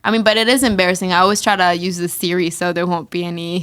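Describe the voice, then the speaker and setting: A woman's voice: lowish voice, fairly even intonation, rapid-fire, fairly bright tone, clear, resonant tone. A young American woman chatting casually, joking and laughing a lot. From USA. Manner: laughing, joking, swears freely. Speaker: podcast guest. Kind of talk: casual chat.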